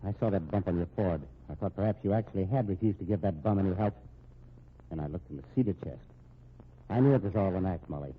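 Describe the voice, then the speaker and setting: A man with a husky voice playing a stern, respectable husband in an old-time radio drama. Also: sits low, quick pace, a little hiss or hum, lightly acted. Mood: accusing, stern. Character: stern, accusing, respectable husband standing up to threats. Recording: tinny, narrow-band old radio recording.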